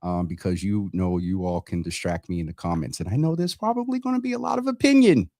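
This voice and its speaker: A man hosting a live community talk show in a conversational, halting way, often repeating words and circling around his point. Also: low voice, animated, warm tone, dry recording, clean studio sound.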